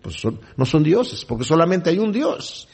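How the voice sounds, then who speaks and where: A man's voice: a little high, sing-song, moderate pace, a little mellow, breathy edge. A man preaching a Bible lesson who reads scripture aloud and then explains it in conversational asides. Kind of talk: Bible teaching sermon.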